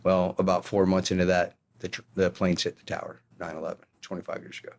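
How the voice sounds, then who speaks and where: Middle-aged man, American accent, very deep voice, conversational storytelling, retired military officer as podcast guest. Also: completely flat delivery, fast talker.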